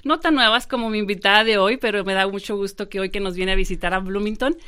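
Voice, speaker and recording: A woman's voice: mid-range voice, fast talker, bright, forceful tone, clear, resonant tone. Friendly lady radio host, chatty and easygoing. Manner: friendly, chatty, laughs easily. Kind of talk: casual interview.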